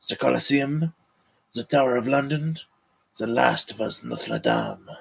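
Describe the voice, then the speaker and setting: A commanding, courtly count acted by a man in a dramatic table read. His voice is gravelly and husky, and he speaks with formal, measured solemnity. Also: medium pitch, huge pitch swings, full character voice. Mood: grave, ominous.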